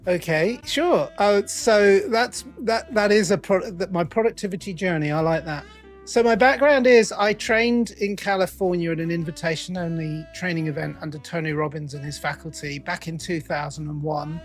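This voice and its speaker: A middle-aged man from England with a British accent, a coach telling his story as a guest in a conversational interview, animated and playing out his own quoted thoughts. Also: high-pitched, normal speed, soft background music. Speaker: guest, accountability coach and author.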